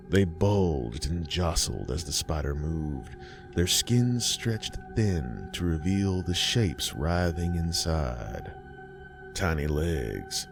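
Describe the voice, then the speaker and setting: A man narrating a horror story in a measured, ominous way. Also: sits low. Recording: music bed behind.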